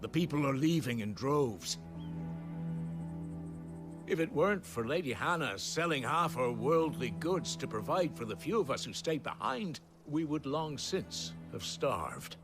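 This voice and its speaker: A man's voice: mid-range voice, lightly acted. A man acting a weary, deferential villager in a video game, with an earnest and careworn manner.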